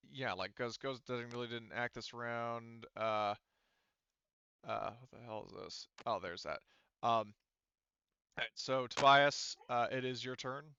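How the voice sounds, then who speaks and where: A man's voice: mid-range voice, unhurried, long pauses, bright, forceful tone, clear, resonant tone, dry recording, muffled recording. A man running a casual tabletop roleplaying session as game master, explaining rules and thinking aloud as he goes.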